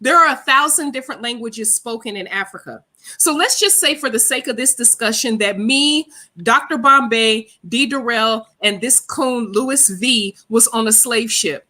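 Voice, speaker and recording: A woman with a bright, fairly high voice lays out her case in a heated debate. She is blunt and combative, stressing her points as if lecturing the listeners. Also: moderate pace.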